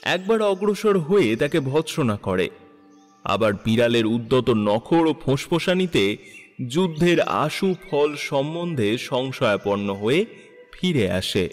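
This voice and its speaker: A man narrating a literary story in an expressive, measured, dramatic style. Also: mid-range voice, long reverb tail, faint music behind.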